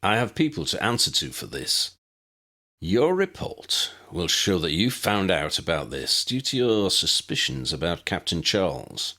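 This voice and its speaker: A man's voice: low voice, big pitch swings, sharp tone, heavily acted. A man voicing a stern, weary warlock director in an audiobook, formal and dry with wry sarcasm. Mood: weary, wry authority. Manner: formal, dry, measured, sardonic.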